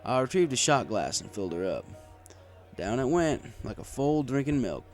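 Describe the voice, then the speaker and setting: A man's voice: medium pitch, big pitch swings, normal speed, darkish tone. A man reading a story aloud in the first person as a bartender narrator. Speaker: audiobook reader. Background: music.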